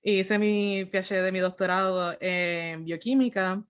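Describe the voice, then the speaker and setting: A woman's voice: medium pitch, steady tone, unhurried, smooth. A woman in her thirties with a Puerto Rican accent, a science writer speaking casually and a bit hesitantly on a video call. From Puerto Rico.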